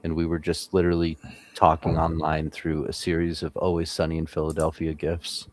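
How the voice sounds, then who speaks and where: A man's voice: rumbling low, completely flat delivery, fairly quick. A man hosting a live trading stream, talking in casual, profane banter. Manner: profane, laid-back banter.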